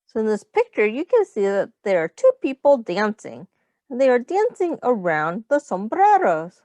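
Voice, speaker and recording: A woman teacher reading a picture book aloud to young children, explaining things in simple phrases and pausing to ask questions. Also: on the high side, wildly swooping pitch, a little mellow, no echo.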